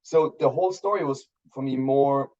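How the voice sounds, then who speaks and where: A man's voice: medium pitch, moderate pace, darkish tone, lo-fi sound. A Swiss man in his thirties whose first language is German, chatting casually in an interview with many restarts and fillers. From Switzerland. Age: 30s. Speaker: guest filmmaker.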